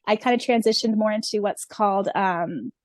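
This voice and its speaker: A woman's voice: mid-range voice, normal speed, no echo. A woman chatting casually on a podcast in an informal, storytelling way as a co-host. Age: 30s or older.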